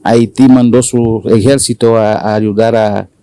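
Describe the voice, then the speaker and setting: Haitian guy in his 40s, dominican accent, lots of ums and restarts, low dark voice. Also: steady tone, clean tone, no room sound. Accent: Dominican Spanish. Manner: halting, many fillers and restarts. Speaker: interview guest. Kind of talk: casual conversational interview.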